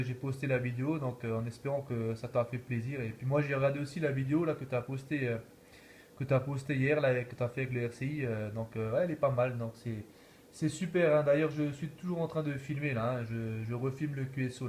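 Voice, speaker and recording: A man's voice: mid-range voice, fairly even intonation, a little fast, a little mellow, a little hiss or hum. A man chatting casually as an amateur radio operator, often ending his phrases with little tag words. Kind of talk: casual radio contact chat.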